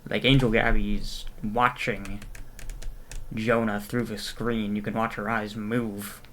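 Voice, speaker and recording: Low, even-toned man giving casual commentary. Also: slow pace, slight background noise. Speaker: reviewer, video commentator.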